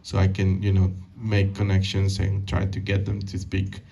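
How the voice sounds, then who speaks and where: A man in his thirties from Peru speaks at a community event in a low, flat voice. He halts and restarts often, leaning on fillers as he answers questions. He is picked up from a distance in a meeting hall. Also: gravelly, husky. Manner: halting, many fillers and restarts. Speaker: guest speaker.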